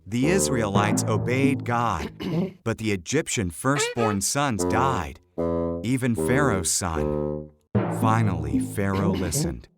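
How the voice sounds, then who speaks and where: A narrator reads a scripted children's story at a slow, measured pace. The pitch moves in lively swings from phrase to phrase, and loud music plays underneath the whole reading. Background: loud background music.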